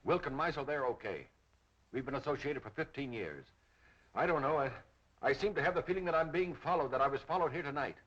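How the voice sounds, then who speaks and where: Harried businessman, animated delivery. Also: a little high, brisk. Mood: worried, earnest. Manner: earnest, nervous. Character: anxious, harried male businessman in fear. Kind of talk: 1930s film acting. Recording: dull 1930s film soundtrack.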